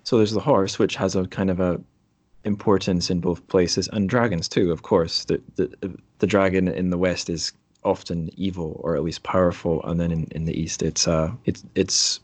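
Low-voiced man hosting a podcast, dry sound. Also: steady tone, moderate pace, darkish tone, breathy edge, dry recording. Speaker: podcast host. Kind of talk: casual interview conversation.

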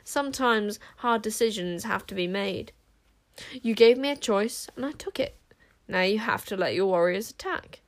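A woman voicing a calm, dignified male enchanter king, measured and ironic, in an audiobook story. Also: medium pitch, close to an everyday voice. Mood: calm, sympathetic.

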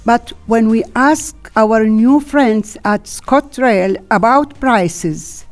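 A woman narrating a community group video in a measured, deliberate scripted read. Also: a little high, soft background music.

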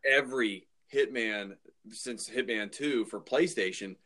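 A man's voice: medium pitch, measured pace, pushed and bright. A man co-hosting a podcast, talking about films casually with exasperated, informal phrasing full of "like" and "dude". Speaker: podcast co-host. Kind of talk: casual opinionated film discussion.

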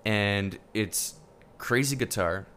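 A man's voice: on the low side, takes it slowly, bright, forceful tone, a little hiss or hum. A man chatting enthusiastically as host, nerdy and curious, with lots of ums. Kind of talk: casual chat.

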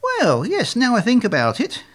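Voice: mid-range voice, wildly swooping pitch, moderate pace, fairly bright tone, pitched above the performer's own voice. A performer voicing a naive, dim young swan who believes he is an ugly duckling, self-pitying and childlike in a storybook tale. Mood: earnest.

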